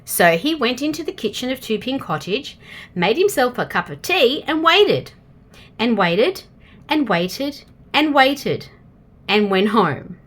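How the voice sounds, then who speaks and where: Lively, bright-voiced Australian woman reading a storybook. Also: on the high side, slow pace. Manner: expressive, asks the listener questions. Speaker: storytime reader for young children. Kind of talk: children's storybook read-aloud.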